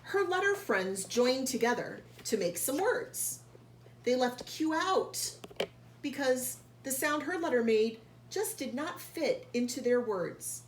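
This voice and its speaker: A woman reading a picture book aloud to young children like a teacher, pausing to explain and carefully sounding out key words. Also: high voice, animated. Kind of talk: read-aloud storytelling with explanatory asides. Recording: echoey room, faint background noise.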